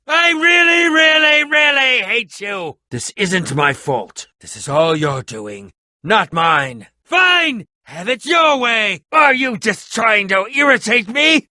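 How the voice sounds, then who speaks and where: An actor playing a haughty, theatrical, hot-tempered schemer in a video game, with sneering, melodramatic delivery and grandiose phrasing. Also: male voice, very high-pitched, sharp tone, no echo, clean studio sound, exaggerated voice. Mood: cold menace. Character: pompous, theatrical, hot-tempered scheming potion-brewing man, bitter rival. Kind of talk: animated video game voice acting.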